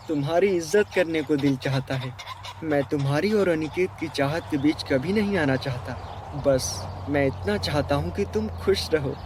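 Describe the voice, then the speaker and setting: A man voicing a lovelorn, higher-pitched young boss. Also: noisy background, close to an everyday voice. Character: devoted, lovelorn young boss, courteous and sincere. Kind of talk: Hindi animated melodrama dialogue. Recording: music bed behind the voice.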